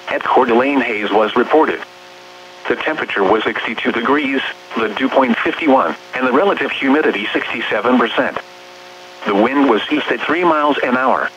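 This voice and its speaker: Synthetic male announcer for automated weather bulletins; impersonal, measured, mid-pitched, over a muffled radio signal. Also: fairly bright tone. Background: radio hum and noise.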